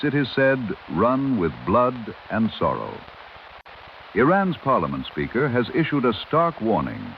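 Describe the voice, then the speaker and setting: A man reading scripted news as a vintage 1930s radio announcer, formal and dramatic in an old-fashioned way. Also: fairly low pitch. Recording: muffled vintage radio sound with hiss.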